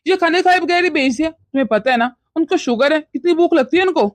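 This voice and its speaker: एक औरत घरेलू मेलोड्रामा कहानी में माँ के भक्त, नरम और उलझन में पड़े जवान पति का किरदार निभा रही है, जो घबराकर शब्द दोहराता है।. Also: female voice, high voice, fast, no room sound, natural voice. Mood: concerned. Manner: flustered, repeats words in questions. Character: young husband, devoted son, bewildered and mild. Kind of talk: melodramatic domestic story acting.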